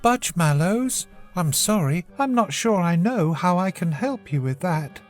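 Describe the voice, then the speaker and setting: A woman's voice: on the low side, lively intonation, measured pace, darkish tone, faint music behind, pitched above the performer's own voice. A woman voicing a polite young girl in a children's story, a little puzzled and eager to help. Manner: polite, questioning.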